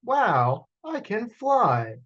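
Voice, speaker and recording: A man voicing an eager young boy dragon in a storybook, exclaiming with wide-eyed excitement. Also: high voice, drawn-out words, dull audio, pitched above the performer's own voice, heavily acted. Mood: thrilled.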